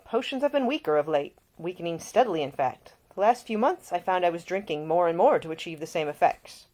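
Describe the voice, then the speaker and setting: A woman's voice: fairly low pitch, lively intonation, brisk, darkish tone. A woman voicing a jaded young male earl in an audiobook, curt, dry and guarded in his answers.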